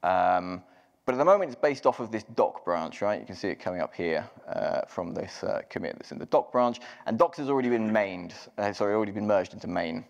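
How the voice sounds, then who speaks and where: A man's voice: fairly low pitch, animated, brisk, highs cut off. A man giving a live technical walkthrough at a conference, casual, with many ums and restarts. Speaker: conference speaker. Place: large conference hall. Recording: long hall reverb.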